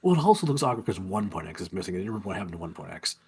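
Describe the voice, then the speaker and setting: A man's voice: sits low, big pitch swings, racing, a crowd in the background. A man co-hosting a casual game chat, with a nasal voice as he recovers from losing it, chatty and teasing. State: recovering from lost voice. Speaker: podcast co-host.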